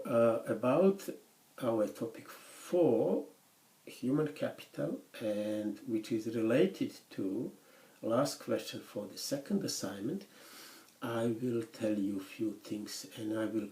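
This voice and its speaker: A man lecturing university students in English, which is his third language, speaking haltingly with many restarts and pauses. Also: mid-range voice, warm tone. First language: not English; English is his third language after Swedish. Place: lecture room. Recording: echoey classroom recording.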